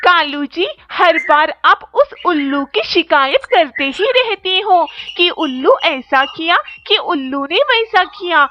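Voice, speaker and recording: A woman's voice: extremely high pitch, expressive, slow pace, few pauses, full character voice. A woman voicing a demanding cartoon crow wife, nagging and reproachful, in a muffled, slightly noisy recording. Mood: scolding. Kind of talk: children's cartoon story dialogue. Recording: muffled lo-fi with faint noise.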